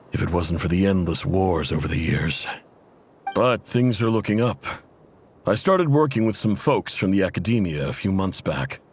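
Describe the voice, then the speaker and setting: An actor playing a middle-aged desert tribe chief and doting father, speaking with fatherly warmth in a game cutscene. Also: low voice. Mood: earnest.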